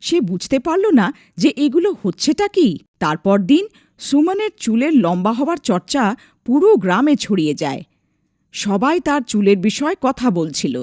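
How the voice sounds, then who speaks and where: Animated, high-voiced woman storyteller. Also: moderate pace, dry recording, clean studio sound. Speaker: storyteller, narrator. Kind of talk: scripted narration of an animated folk tale.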